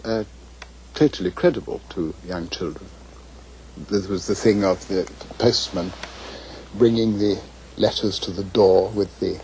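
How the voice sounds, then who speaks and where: An English man in his seventies reminiscing in an interview, deliberate and formal, repeating phrases for emphasis. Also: lowish voice, warm tone, poor audio. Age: 70s. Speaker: interviewee recalling childhood. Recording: clear background noise.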